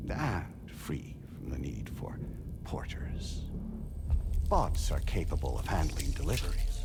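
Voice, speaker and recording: An actor playing a calm, grandiose non-human AI collective in a game cutscene, lecturing in formal, deliberate oratory. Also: male voice, fairly low pitch. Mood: solemn, grandiose. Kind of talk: video game cutscene monologue. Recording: music under the voice.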